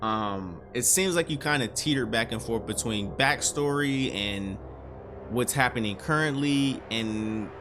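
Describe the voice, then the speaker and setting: A man's voice: medium pitch, measured pace, background noise. A man giving casual, slangy reaction commentary as a video host, often repeating phrases for emphasis.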